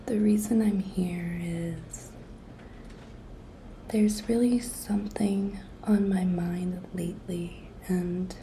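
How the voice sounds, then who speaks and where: A woman acts out a coy, pushy young female intern in an ASMR roleplay. She whispers softly and slowly, with a creaky edge, coaxing and teasing her boss. Her tone is very mellow and intimate. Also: fairly low pitch, a little hiss or hum, poor audio. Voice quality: breathy whisper, soft-spoken.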